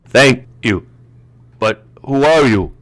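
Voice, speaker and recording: An amateur actor voicing a stern adult male superhero in an audio drama, with forceful, commanding delivery. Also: medium pitch, lively intonation, takes it slowly. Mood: puzzled.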